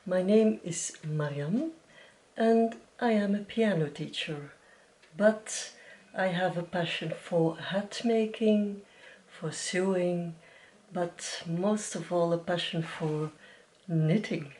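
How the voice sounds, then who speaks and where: Slow-speaking Belgian woman with a Dutch accent. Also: fairly low pitch, darkish tone. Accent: Flemish Dutch. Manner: careful, searching for English words. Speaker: podcast host, piano teacher. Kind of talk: casual craft podcast talk.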